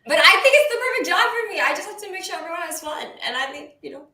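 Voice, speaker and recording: A woman co-hosting a music radio show in casual chat, chatty and quick to laugh. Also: very high-pitched, lively intonation, pushed and bright, roomy sound. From Chinese Korean. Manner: giggly, chatty. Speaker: co-host.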